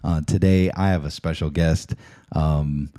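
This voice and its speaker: A man's voice: extremely low pitch, fairly even intonation, unhurried, dark tone, no echo, clean studio sound. A man hosting a talk show, chatting casually with his guest in an interview.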